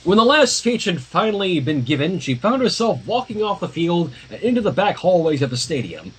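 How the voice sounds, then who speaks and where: A man reading a story aloud on a livestream with expressive, dramatic narration and casual asides, in a husky voice. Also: sits high, a little fast, slight background noise, poor audio. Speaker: fan fiction reader.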